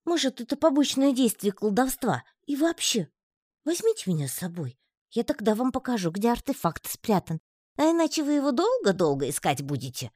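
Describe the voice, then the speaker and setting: A woman's voice: on the high side, very animated, a little mellow, no echo, studio quality, pitched above the performer's own voice. A woman voicing a tiny, lonely male spider who talks in a plaintive, pleading way for an audiobook. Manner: plaintive, pleading, quick to take offence. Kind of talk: audiobook reading.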